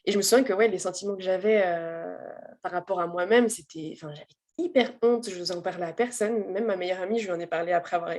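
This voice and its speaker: A woman's voice: fairly low pitch, darkish tone. Candid woman telling her story informally. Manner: candid, informal, halting and emphatic. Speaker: interview guest giving a personal testimonial. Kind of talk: casual personal storytelling.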